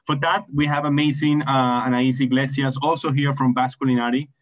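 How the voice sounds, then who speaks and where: A man's voice: mid-range voice, steady tone, fairly quick. A man introducing panel moderators on an online conference call, rambling with many fillers and repeated phrases. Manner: rambling, many fillers like "I mean, like" and "you see". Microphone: video call webcam.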